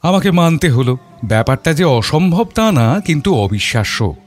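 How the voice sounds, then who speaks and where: A man narrating an audio story as a thoughtful father, in a measured, literary storytelling manner. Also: fairly high pitch, very animated, soft background music. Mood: reflective. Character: thoughtful middle-class father telling his story.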